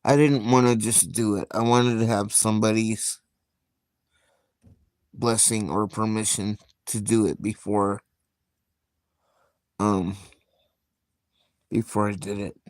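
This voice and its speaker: A man hosting a casual live stream, talking in a slow, soft-spoken, reflective way with frequent pauses. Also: lowish voice, level tone, no room sound. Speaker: livestream host.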